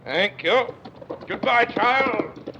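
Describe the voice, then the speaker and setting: A man's voice: extremely high pitch, animated, very slow, noisy background, exaggerated voice. A man playing a stern, brusque master of a manor in a classic radio drama, imperious and mocking. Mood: commanding, pained. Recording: muffled old radio play recording.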